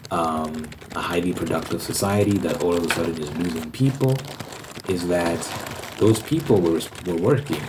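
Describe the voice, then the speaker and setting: Male ASMR host, very low voice, halting explanatory delivery with repeated phrases, noticeable background noise. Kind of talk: ASMR ramble, explanatory monologue.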